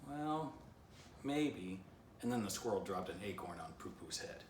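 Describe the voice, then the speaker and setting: A man with a fairly deep voice reads a children's storybook in an animated, expressive way. The recording is roomy and echoey, picked up a little away from the microphone. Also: fast talker. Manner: animated, showing illustrations between passages. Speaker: children's author reading their book aloud. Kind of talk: expressive storybook read-aloud with casual asides. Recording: roomy echo, distant mic, faint noise.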